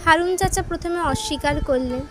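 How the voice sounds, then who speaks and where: A woman narrates a children's moral story in a high-pitched voice. She reads from a script as the storyteller. Instrumental music plays clearly behind her. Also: brisk.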